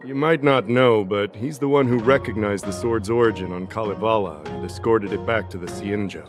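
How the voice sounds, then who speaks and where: An actor playing a shrewd, insistent investigator in a video game, deliberate and logical as he reasons and questions. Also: on the low side, natural voice. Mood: measured, reasoning. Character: shrewd, insistent male investigator pressing an accusation. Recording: music behind the voice.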